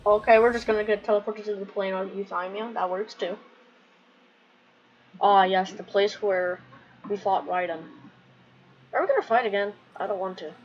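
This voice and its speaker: A woman's voice: mid-range voice, steady tone, normal speed, pauses often. Casual woman gamer on a muffled mic. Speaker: gamer streaming a playthrough. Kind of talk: casual game commentary. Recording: dull lo-fi mic, faint noise.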